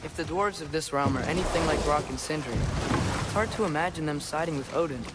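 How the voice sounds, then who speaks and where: Young-sounding man as teenage game adventurer, fairly high voice, eager, curious, thinking aloud, loud boat and water noise. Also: fairly quick, close to an everyday voice. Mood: earnest. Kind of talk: video game voice acting.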